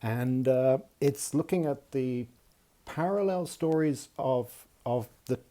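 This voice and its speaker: A man from Malaysia reads aloud from his own writing over a video call. His voice is mellow and dark. He stumbles, restarts and fills gaps with ums before settling into the text. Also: mid-range voice. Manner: halting, self-deprecating, many fillers and restarts. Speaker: author reading his work. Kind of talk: casual intro then reading aloud.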